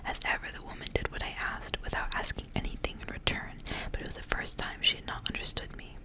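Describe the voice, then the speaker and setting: Female ASMR storyteller, fully whispered, hushed and deliberate delivery of literary fairy-tale prose. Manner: soft unvoiced whisper, hushed and deliberate, phrase by phrase.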